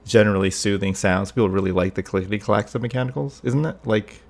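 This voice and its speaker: A man hosting a podcast in a relaxed, chatty way. His voice is fairly low and has a gravelly edge. He talks loosely and fills his sentences with "like" and "um.". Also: fast. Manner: loose, many fillers like "like". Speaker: podcast host. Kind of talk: casual chat.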